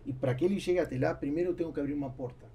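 Man with gravelly, creaky voice. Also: a little high, very fast, dark tone, dull audio, a little hiss or hum. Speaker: podcast guest, business owner. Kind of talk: conversational interview, explaining with anecdotes. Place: small foam-treated podcast studio. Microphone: boom-arm studio microphone, close.

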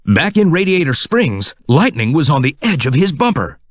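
A man's voice: on the high side, sing-song, moderate pace. A man reading scripted narration for a children's read-along storybook.

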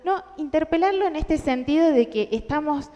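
A woman's voice: sits high, lively intonation, moderate pace, mellow, dull audio. Young Argentine woman speaking in a hall. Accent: Argentine Spanish. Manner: earnest, many fillers and restarts. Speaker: conference speaker. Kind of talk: talk to a large audience. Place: large indoor hall with audience. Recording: hall reverb.

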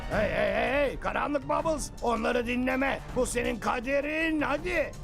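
A man voicing a scheming, boastful, bossy monkey villain in a Turkish cartoon dub, gravelly and grandiose. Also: extremely high pitch, a little slow, over music, exaggerated voice. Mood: urging. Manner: grandiose, emphatic, repeats himself.

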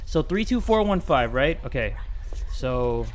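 A young man in a home room on a headset, hosting a horror game playthrough, swinging from loud yelling to hushed talk. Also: mid-range voice, big pitch swings, unhurried, a little hiss or hum. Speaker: gaming video host. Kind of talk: energetic horror game commentary.

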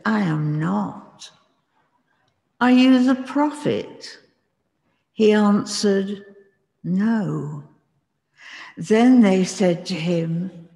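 An older reader slowly reading the gospel aloud in a church hall, measured and giving the dialogue its own voices. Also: mid-range voice, vocal fry, a little mellow. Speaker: scripture reader. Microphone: far camera, lectern. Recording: long echo in hall from far camera.

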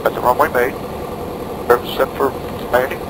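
A man's voice: medium pitch, little pitch movement, normal speed. A man working as a pilot, making radio calls and talking himself through the flight in short procedural phrases. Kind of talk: radio calls and self-talk while flying. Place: small aircraft cockpit in flight. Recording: loud aircraft engine noise.

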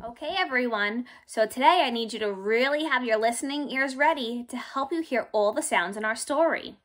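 A young woman teaching and reading aloud to small children in simple, deliberate phrases. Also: on the high side, a bit bright. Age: young adult. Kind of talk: children's read-aloud lesson.

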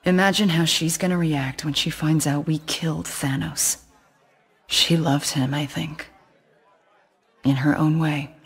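Haunted game assassin, subdued and husky, dry wit. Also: female voice, sits low, steady tone, measured pace, long pauses, some chatter behind, heavily acted. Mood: troubled. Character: brooding green-skinned female warrior assassin. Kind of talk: video game acting.

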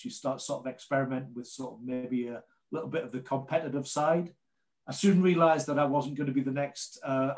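A man's voice: medium pitch, poor audio. A man in his late forties with a Geordie accent, presenting chattily and informally as a guest on a video call. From North East England. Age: late 40s. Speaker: ski brand representative and guest presenter.

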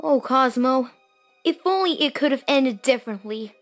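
A high, boyish voice for an earnest teenage fox in a cartoon audio drama, performed by a woman. The delivery is emotional and touchy, with music underneath. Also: animated, normal speed. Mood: wistful. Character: fifteen-year-old boy cartoon fox, earnest and touchy. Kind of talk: fan audio drama acting.

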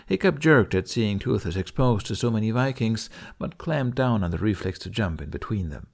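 A man's voice: lowish voice, animated, normal speed, creaky, darkish tone. A man reading a story aloud as an audiobook narrator in a scripted storytelling style.

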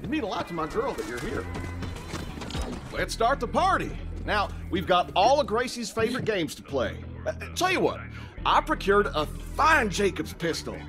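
A man's voice: sits high, huge pitch swings, normal speed, over music. A man playing a folksy, exuberant bereaved father, hosting a party in a video game. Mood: forced cheer. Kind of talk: video game character acting.

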